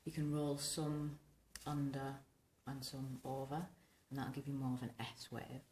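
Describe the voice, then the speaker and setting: A woman in her thirties with a husky, rumbling, slightly hoarse voice, chatting casually and ramblingly through a hairstyling tutorial to camera. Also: level tone, measured pace, echoey room, background noise. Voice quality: husky, rumbling, smoky voice from tonsillitis. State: recovering from tonsillitis, hoarse. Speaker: vintage hairstyling vlogger. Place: small domestic bedroom, indoors.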